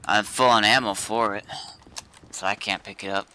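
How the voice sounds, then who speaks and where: A man's voice: lowish voice, fairly even intonation, moderate pace, sharp tone, a little hiss or hum. A man talking casually in short, laconic replies while playing an online roleplay game with others. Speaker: player in an online zombie survival roleplay game.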